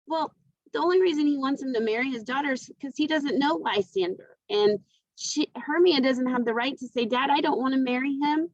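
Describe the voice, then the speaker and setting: Female teacher, fairly high voice, conversational explainer speaking straight to students; dry, slightly muffled computer-mic sound. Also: a little fast, no room sound. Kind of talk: lesson explanation to students, informal.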